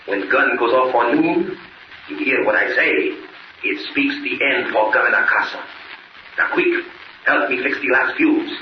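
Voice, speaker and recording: A man's voice: mid-range voice, a little slow, close to an everyday voice. Radio-serial villain , male actor: Spanish accent, broken English, sly gloating schemer, muffled hissy old recording. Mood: confident, scheming. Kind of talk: 1940s radio serial acting. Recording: noisy, muffled, echoey 1940s radio recording.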